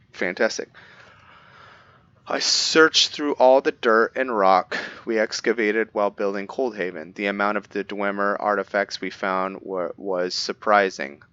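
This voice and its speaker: A man's voice: low-pitched, pushed and bright, clean tone, no echo, muffled recording. A man playing a roleplaying game, reading in-game books aloud and stopping to comment, often stumbling over names and restarting words. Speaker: roleplaying gamer.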